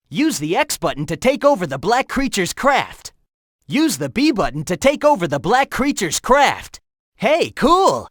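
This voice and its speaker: Cocky young hedgehog hero, video game, breezy and encouraging, pitch swinging wildly, dry studio sound. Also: male voice, fairly bright tone, no room sound, heavily acted. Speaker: in-game guide giving hints.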